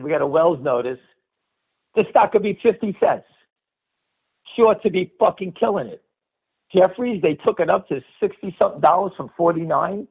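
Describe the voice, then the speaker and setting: A man's voice: high voice, slightly rough. A middle-aged or older man hosting a stock market call with unscripted, opinionated commentary, speaking bluntly and emphatically, with swearing and repeated phrases. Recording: muffled lo-fi radio-like call audio.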